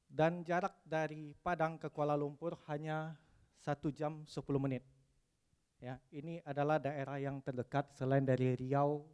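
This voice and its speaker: A man from West Sumatra giving a technical conference presentation, pausing often with "eh" and dropping in English terms. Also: on the high side, a little mellow, clean tone. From West Sumatra, Indonesia. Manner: hesitant, frequent "eh" fillers, mixes in English terms.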